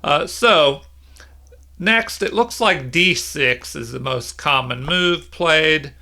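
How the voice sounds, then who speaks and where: A middle-aged man giving an unscripted tutorial as an instructor, explaining things in a measured way. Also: fairly high pitch, vocal fry, bright, forceful tone. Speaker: chess instructor. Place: small room indoors at home.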